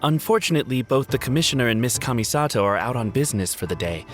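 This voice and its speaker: A man's voice: medium pitch, lively intonation, fast, lightly acted. A man playing a courteous, helpful estate retainer, formal and polite, in video game dialogue style. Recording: music behind the voice.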